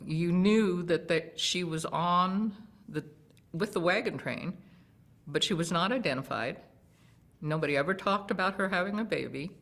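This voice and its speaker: A woman's voice: fairly low pitch, normal speed, a bit bright. A woman recounting history as a researcher in a documentary interview. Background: plucked guitar music.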